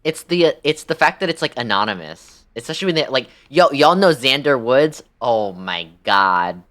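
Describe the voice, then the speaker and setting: A man's voice: medium pitch, expressive, normal speed, a bit bright. A man livestreaming a game, chatting casually with viewers in a crude, joking, slangy way and often repeating himself. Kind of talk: casual chat with stream viewers.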